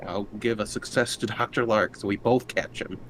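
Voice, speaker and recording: A man's voice: fairly low pitch, steady tone, normal speed, rough recording. A man chatting casually as a player in a tabletop roleplaying game. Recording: faint background noise.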